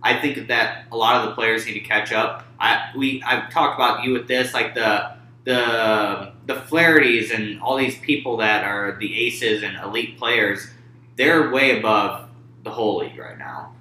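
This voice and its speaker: Man, podcast co-host, low voice with a bright, forceful edge, halting delivery. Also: echoey room, low-quality recording. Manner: halting, many fillers and restarts. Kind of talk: casual sports chat.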